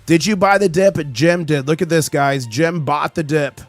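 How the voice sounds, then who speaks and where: A man hosting a live market stream in a chatty, excitable way, reading viewer comments aloud while his voice recovers from being lost. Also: fairly high pitch, normal speed, dry recording, soft background music. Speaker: livestream host.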